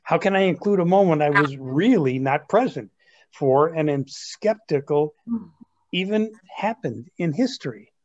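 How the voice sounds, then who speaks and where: A man's voice: a little high, lively intonation, unhurried. Man playing a skeptical teenage boy, swooping, muffled call. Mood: baffled, skeptical. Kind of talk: amateur reader's theatre dialogue over video call. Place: remote video call. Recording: dull, lo-fi video call audio.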